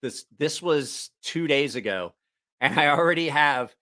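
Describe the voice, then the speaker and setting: A man's voice: a little high, animated, unhurried, sharp tone. A man hosting a casual livestream, rambling and repeating himself, with plenty of swearing. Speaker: YouTube knife channel host.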